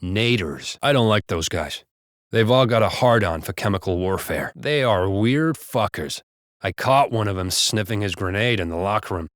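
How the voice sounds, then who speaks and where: A man voicing a gruff American patrol cop for a video game. He is blunt and foul-mouthed, talking like a street officer, recorded very dry. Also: on the low side, lively intonation, moderate pace, dry recording, close to an everyday voice. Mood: wry, uneasy. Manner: blunt, profane, colloquial. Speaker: police officer character.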